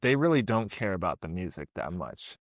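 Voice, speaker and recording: A man's voice: low-pitched, very animated, fairly quick, no echo, studio quality. A man as a podcast guest in casual conversation, laid-back and agreeable.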